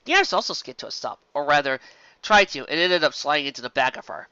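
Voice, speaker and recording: Man, amateur story reader, animated narration, bright forceful tone, muffled lo-fi recording. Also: a little high, fast, smooth, no room sound. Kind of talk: expressive scripted storytelling narration.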